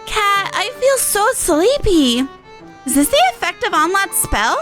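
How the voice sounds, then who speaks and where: A woman voicing an excitable, cheerful little pixie from a game, in a bubbly, theatrical manner, easily exasperated. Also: very high-pitched, measured pace, soft background music, voice pushed up, exaggerated voice. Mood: sleepy. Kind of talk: reading game dialogue in character.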